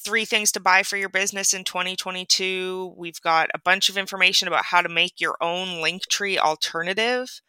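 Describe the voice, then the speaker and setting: A woman's voice: on the low side, steady tone, brisk, few pauses, sharp tone, smooth, no echo. A woman who co-runs a coaching site, speaking on an interview in an earnest, self-deprecating way. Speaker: coaching site co-founder guest. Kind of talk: casual interview chat.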